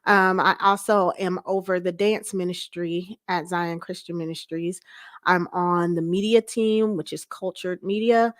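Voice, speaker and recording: A woman's voice: on the low side, fairly even intonation, measured pace. A woman speaking as an interview guest in a conversational, plainspoken and self-assured manner. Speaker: guest, pastor's wife.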